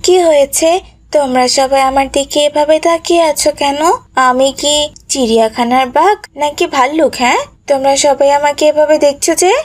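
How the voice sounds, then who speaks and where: Woman voicing a high-pitched, repentant cartoon schoolgirl. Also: moderate pace, lightly acted. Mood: puzzled, shocked. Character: Mili, repentant young schoolgirl who made viral videos. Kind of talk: animated moral story acting.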